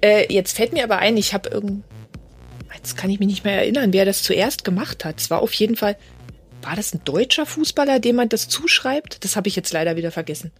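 A woman co-hosting a podcast, agreeable and quick with short replies of agreement. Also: medium pitch, husky, faint music behind. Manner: agreeable, many backchannels. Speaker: podcast co-host. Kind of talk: casual academic chat.

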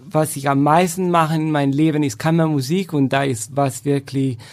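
A man from Italy speaking German with an Italian accent, a cellist talking about his path, pausing often with fillers. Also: on the high side, steady tone. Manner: hesitant, many fillers. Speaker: cellist and festival founder. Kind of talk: casual podcast conversation.

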